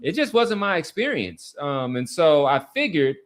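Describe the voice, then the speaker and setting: A man hosting a podcast, talking casually about sports and society in an earnest, halting way with repeated words. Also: a little high, big pitch swings. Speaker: podcast host. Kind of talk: casual sports talk and commentary.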